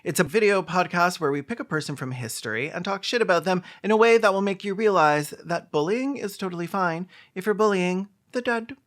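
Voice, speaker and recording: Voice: medium pitch, brisk, few pauses, fairly bright tone. A video host giving sarcastic, foul-mouthed comedic commentary in a snarky, mocking manner.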